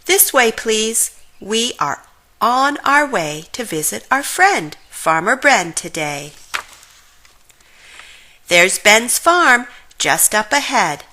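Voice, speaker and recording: A woman reads a children's story aloud for young readers. Her delivery is slow and deliberate, with very lively, expressive intonation. The tone is strongly bright and forward. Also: on the high side. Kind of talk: children's read-aloud narration.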